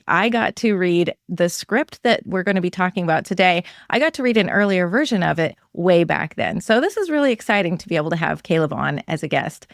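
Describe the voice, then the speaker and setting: A woman speaks as a podcast host in a conversational interview style. Her voice sits a little low, and the sound is very dry, with hardly any room around it. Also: dry recording.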